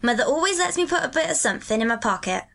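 A woman narrator puts on a kindly country boy who speaks broad Yorkshire, hearty and gentle. The voice sits a little higher than usual with a bright, forward tone. It is a storybook character, full of easy good cheer. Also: sing-song, racing, vocal fry, heavily acted. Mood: friendly. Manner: broad dialect, warm and hearty. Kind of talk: audiobook character reading.

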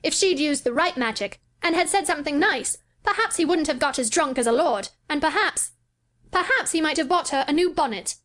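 A woman reading an audiobook, voicing an earnest, solemn young boy who speaks gravely and chants. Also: lively intonation, fairly quick, a bit bright. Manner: grave, high-pitched chanting.

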